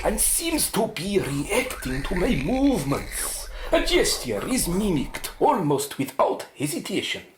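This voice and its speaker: A woman's voice: on the low side, wildly swooping pitch, a little slow, hardly any pauses. A woman playing a proud, principled male research scientist in a radio drama, with formal, emphatic diction. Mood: absorbed, clinical. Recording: roomy echo, faint noise.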